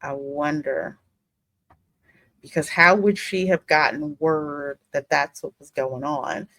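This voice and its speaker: A woman's voice: low-pitched, steady tone, slow, creaky, a bit bright, no room sound. A woman hosting a gaming commentary, emphatically theorizing aloud about the story as she reacts. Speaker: gaming host.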